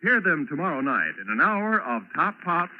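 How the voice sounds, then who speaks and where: A man's voice: a little high, normal speed. A male announcer giving a polished 1940s radio announcement. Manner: lively, polished announcer delivery. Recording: dull lo-fi 1940s radio with faint hiss.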